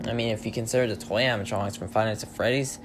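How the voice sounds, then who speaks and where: A man's voice: fairly low pitch, steady tone, rapid-fire. A young man reading a creepypasta aloud in an amateur way, stumbling and correcting himself, then chatting casually about it. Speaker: creepypasta reader. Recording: music playing behind the voice.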